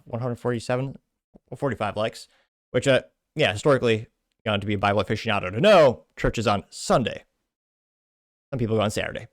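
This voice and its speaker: A man's voice: mid-range voice, no echo, clean studio sound. A man hosting a commentary show, casually reading comments aloud with rambling asides and self-corrections. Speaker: host.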